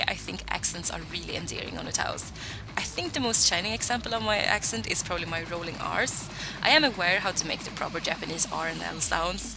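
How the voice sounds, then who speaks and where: Young woman with a vocal fry. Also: medium pitch, low-quality recording. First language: Swedish. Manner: chatty and self-deprecating, with filler "like" and asides. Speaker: voicebank creator answering fan questions. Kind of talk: casual Q&A vlog talk.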